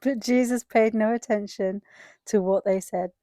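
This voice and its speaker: A woman's voice: mid-range voice, steady tone, moderate pace, mellow, no echo, studio quality. A woman giving a scripted narration of a dramatized Bible reading.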